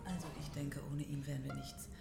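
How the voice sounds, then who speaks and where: An actress playing a young wife, a patient yet evasive musician, in radio play dialogue. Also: female voice, low-pitched, flat delivery, brisk, creaky. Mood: admiring. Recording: music behind the voice.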